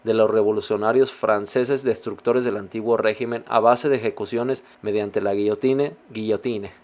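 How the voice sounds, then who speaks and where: A man hosting a reaction video, reading passages aloud and commenting on them in a measured, reflective way. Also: on the low side, fairly even intonation, a little mellow.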